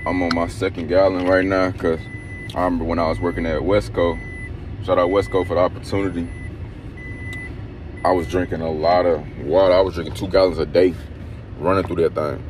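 A man's voice: low voice, little pitch movement, a little fast, pauses often. A young man talking casually and rambling into a phone held at arm's length in a car at night. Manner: laid-back, rambling, slangy. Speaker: vlogger. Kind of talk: casual motivational vlog. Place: inside a parked car at night. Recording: noisy phone recording in a car.

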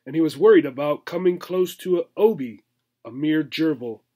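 Male teacher-reader, slightly high pitch, narrating a children's story expressively. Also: normal speed, dark tone. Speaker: teacher reading a children's book aloud. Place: small furnished room indoors.

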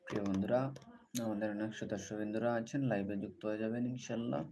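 A man's voice: lowish voice, fairly even intonation, quick pace. A man hosting a livestream, greeting viewers and repeating set-phrase appeals to them. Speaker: livestream host. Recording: noticeable background noise.

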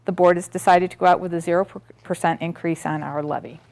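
A woman's voice: lowish voice, steady tone, fast talker, vocal fry, darkish tone. A middle-aged woman presenting a school district budget at a public board meeting, methodically reading out figures with frequent ums and restarts, picked up by a distant camera in a meeting room. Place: board meeting room, standing by a projector screen. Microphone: far camera, room audio.

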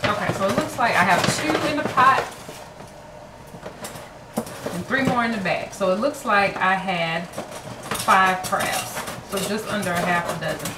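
A woman's voice: mid-range voice, moderate pace, heavy background noise. A woman from Florida chatting casually through a home cooking vlog, self-deprecating and full of fillers, recorded on a camera in her kitchen. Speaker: vlogger.

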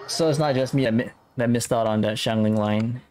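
A man's voice: mid-range voice, a little slow, a little mellow. A man giving casual, laid-back live playthrough commentary as a game streamer, thinking aloud. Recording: faint game music behind. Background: video game music.

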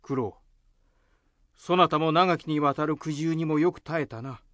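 A man plays a dignified samurai commander, a composed elder brother in a radio play. His voice sits slightly high for a man, and he delivers lordly, archaic lines slowly. The recording is dry. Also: fairly bright tone, no echo, lo-fi sound. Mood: dignified, approving. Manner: lordly, measured archaic speech. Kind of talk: Japanese radio drama acting.

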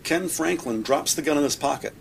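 A man's voice: fairly high pitch, brisk, vocal fry. A man narrating an audio drama, reading scripted scene description in the present tense. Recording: noisy, sound effects behind voice.

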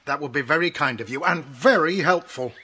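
A man's voice: on the high side, fairly quick, bright, forceful tone, slightly rough. An actor playing a kindly, plain-spoken working man who dotes on his horse, in a children's audio drama. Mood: firm, grateful. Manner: homely, plain-spoken, affectionate. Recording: noisy cassette tape with clicks.

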